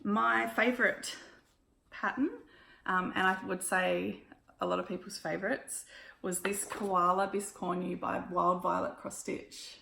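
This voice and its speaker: An Australian woman with a croaky, hoarse voice, chatting casually through a stitching haul with lots of ums and little laughs. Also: mid-range voice, fairly bright tone, reverberant. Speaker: cross-stitch vlogger. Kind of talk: casual show-and-tell recap.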